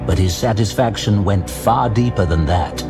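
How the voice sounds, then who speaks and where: Male narrator for fantasy audio drama: lowish voice, grave and unhurried, set over clear background music. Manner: measured, grave storytelling.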